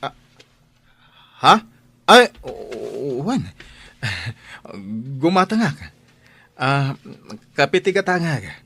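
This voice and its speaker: A man with an Ilocano accent playing a curious, flustered young man in an audio drama, with a husky voice. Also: mid-range voice, big pitch swings, very slow, pauses often, pushed and bright, heavily acted. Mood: flustered, smitten. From Philippines.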